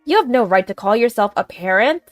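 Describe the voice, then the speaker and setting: A woman voicing a protective older grandmother who rebukes sharply and forcefully in a story. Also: fairly high pitch, lively intonation, brisk, no echo, faint music behind, lightly acted. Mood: furious.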